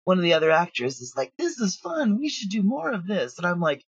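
A woman's voice: on the low side, big pitch swings, brisk, slightly rough, no echo, lo-fi sound. A woman talking casually as an interview guest, recorded remotely from home. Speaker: audio drama writer and producer, interview guest.

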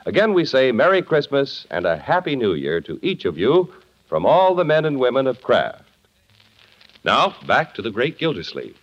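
A man's voice: medium pitch, wildly swooping pitch, moderate pace, a little hiss or hum. A man announcing in formal, polished 1950s radio style, reading scripted narration and sponsor messages. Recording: muffled old 1950s radio recording.

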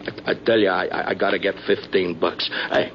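An actor playing a punch-drunk American boxer, proud and wounded, stammering in slangy working-class speech in a 1950s radio drama. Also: male voice, low-pitched, flat delivery, fairly quick. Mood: agitated, halting. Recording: muffled, noisy old radio broadcast.

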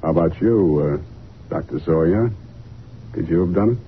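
An actor playing a tough, wry, impatient private detective in a 1940s radio noir drama, talking in a terse way. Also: male voice, low voice, measured pace, a little hiss or hum. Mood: brisk, probing. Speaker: detective and narrator. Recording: tinny, narrow old radio recording.

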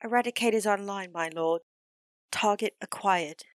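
A woman's voice: mid-range voice, moderate pace, dry recording, clean studio sound, natural voice. A woman playing an obedient, efficient military intelligence aide in an audio drama, businesslike and deferential. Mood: dutiful.

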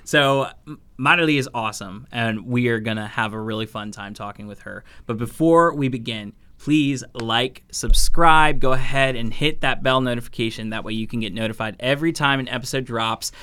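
Man, podcast host, bright forceful tone, clear resonant voice, casual conversational delivery. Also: medium pitch, normal speed. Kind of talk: casual upbeat podcast hosting.

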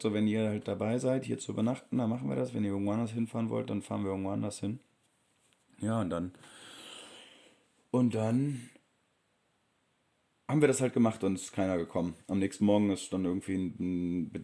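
A man's voice: low voice, vocal fry, warm tone. A young man telling a travel story in a casual, rambling way, full of fillers and restarts. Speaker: vlogger.

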